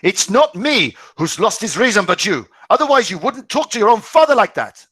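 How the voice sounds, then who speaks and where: A man's voice: sits high, big pitch swings, normal speed, a bit bright, husky, dry recording, pitched above the performer's own voice, full character voice. A man reading a novel aloud, voicing a frightened, desperate middle-aged father close to tears. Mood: indignant. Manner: pleading, near tears.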